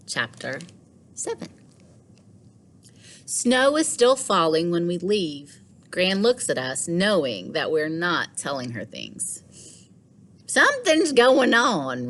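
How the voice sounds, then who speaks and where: A woman reads a children's story in a fairly low voice. Her pace is very slow, with deliberate, dramatic pauses. She sounds like a teacher giving an expressive read-aloud to her class.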